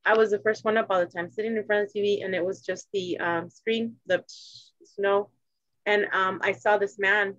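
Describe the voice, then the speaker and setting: A woman from Arizona, a guest in a casual talk, telling her story in a halting way with many restarts. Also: mid-range voice, dry recording. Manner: halting, restarts, animated when quoting others. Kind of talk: casual personal conversation. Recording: dry, dull lo-fi sound.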